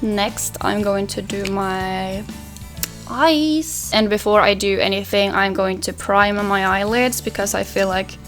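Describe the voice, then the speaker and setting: A young woman from Finland whose first language is Swedish chats easily through her makeup routine. She speaks at a mid pitch, soft and relaxed while she works, recorded close up in a small bedroom with faint music underneath. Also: measured pace. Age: young adult. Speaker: beauty vlogger. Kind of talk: makeup tutorial with Q&A. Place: small pastel bedroom, indoors.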